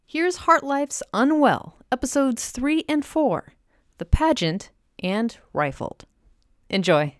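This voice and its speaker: A woman's voice: sits high, animated, slow, no echo, studio quality. A woman podcast host giving a semi-scripted introduction in an enthusiastic, emphatic manner.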